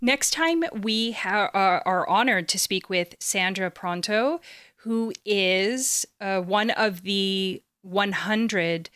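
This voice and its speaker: A woman hosting a conversational podcast, often pausing, repeating and correcting herself as she goes. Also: mid-range voice, fairly bright tone, clean tone, dry recording, clean studio sound. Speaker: podcast co-host.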